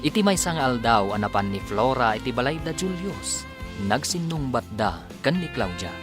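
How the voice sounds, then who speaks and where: A man's voice: medium pitch, very animated, moderate pace. A man with an Ilocano accent, narrating and announcing a radio drama in a scripted, dramatic style. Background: music.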